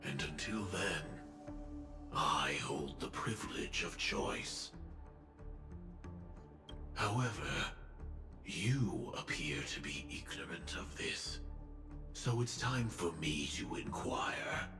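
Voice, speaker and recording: An actor playing a solemn armored warrior in a video game, speaking gravely and formally with a deep, gravelly voice. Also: male voice, animated. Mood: cold, grave. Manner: slow, grave, declamatory. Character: solemn armored male warrior devoted to destruction. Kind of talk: dramatic video game voice acting. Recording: echoey, game music behind. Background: music.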